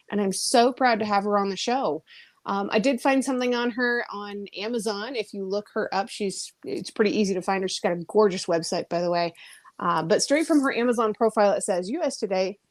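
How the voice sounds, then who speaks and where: A woman hosting a casual, chatty interview in an effusive, exclaiming way. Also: medium pitch. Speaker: podcast host and interviewer.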